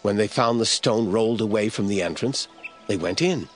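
Narrator: male, low-pitched, reading scripture from a script for audio drama, light music underneath. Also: moderate pace.

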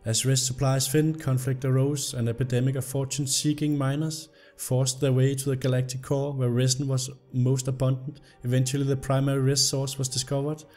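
A young man, slightly hoarse from illness, commenting on a game and reading its story text aloud. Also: medium pitch, fairly quick, hardly any pauses, faint music behind. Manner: reads the story text aloud in an even narrating tone. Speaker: gameplay video host. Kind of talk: casual gameplay commentary.

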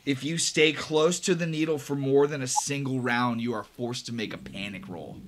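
A man's voice: mid-range voice, normal speed. Casual, swearing male game master. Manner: casual, swears freely, excitable. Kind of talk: running a tabletop game.

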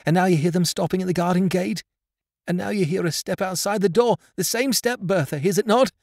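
Give the part of a warm-hearted young Victorian wife as a male reader plays her. She is excitable and breathless, her words tumbling out. The pitch leaps and swoops, and the recording is close and dry. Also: a little slow, vocal fry, no echo, heavily acted. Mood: panting excitement. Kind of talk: Victorian storybook reading.

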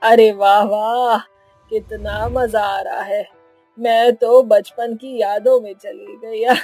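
Woman doing an old cartoon granny, slow and raspy, playful, drawn out words. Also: a little high, flat delivery, dark tone, faint music behind, exaggerated voice. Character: cheerful, childlike elderly mother-in-law, playful. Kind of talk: Hindi cartoon voice acting.

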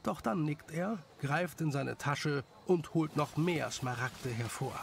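A man's voice: on the high side, lively intonation, slow. A man reading scripted narration of a children's story as its narrator. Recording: clearly noisy background ambience.

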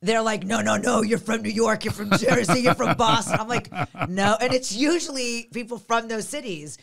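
A woman's voice: fairly high pitch, moderate pace, creaky, a loud crowd. Bubbly bawdy woman in her fifties. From Las Vegas, grew up; born in Montreal. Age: 50s. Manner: bubbly, bawdy, laughs often. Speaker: comedian guest. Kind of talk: casual comedic chat. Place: small studio with foam panels. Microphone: studio mic on boom arm, headphones.

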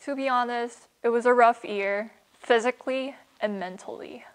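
A woman's voice: on the high side, moderate pace, low-quality recording. A young woman performing a personal monologue with a candid, weary manner of recollection. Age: young adult. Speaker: student storyteller. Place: indoor room with wooden screens.

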